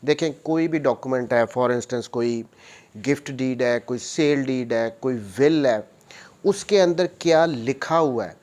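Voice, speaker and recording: A man's voice: mid-range voice, darkish tone. Pakistani man explaining like a lecturer. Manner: repeats and rephrases points, switches into English legal terms. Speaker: law lecturer, advocate. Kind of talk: explanatory lecture with worked examples.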